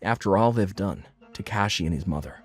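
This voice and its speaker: A man's voice: sits low, a little fast, breathy edge. A man acting as an earnest diner owner, narrating his life story in a scripted manga dub. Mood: matter-of-fact. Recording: background music under the voice.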